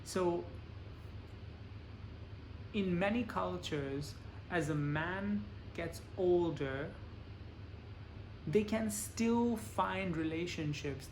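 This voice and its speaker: A man lecturing in a measured, explaining way, pausing for an interpreter. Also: on the high side, slight background noise, poor audio. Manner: plain, measured explaining with examples.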